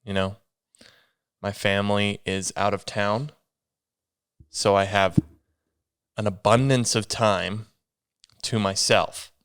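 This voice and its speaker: A man's voice: fairly low pitch, smooth, dry recording, studio quality. A young man hosting a solo podcast, rambling casually with a sniffly, stuffy nose. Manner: rambling, self-deprecating, meandering restarts. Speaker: podcast host.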